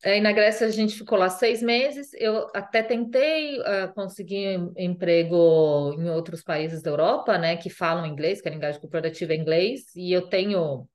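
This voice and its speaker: A woman's voice: fairly low pitch, normal speed, hardly any pauses. A woman from Brazil with a Brazilian Portuguese accent, chatting casually as an interview guest, full of fillers and repeated phrases.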